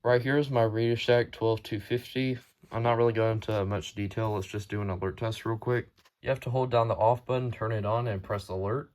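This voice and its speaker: A man with a fairly low voice talks through a hobby collection in an informal video. His delivery is matter-of-fact and unscripted, like someone casually showing items one after another. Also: fairly even intonation, a little fast, darkish tone, slight background noise. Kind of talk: casual show-and-tell narration.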